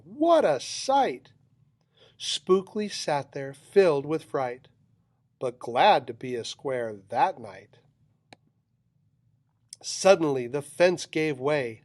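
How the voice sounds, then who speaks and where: A man with a slightly high voice reads a children's storybook aloud. He takes his time, giving the rhyming lines a dramatic, rhythmic delivery. The recording is close and dry. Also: no echo. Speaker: storybook reader. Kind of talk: expressive children's picture book read-aloud.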